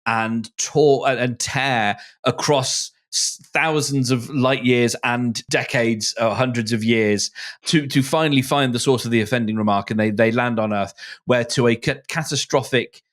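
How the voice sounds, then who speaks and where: A middle-aged man talking casually and enthusiastically about books and films, in halting, stop-start phrases. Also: mid-range voice, steady tone.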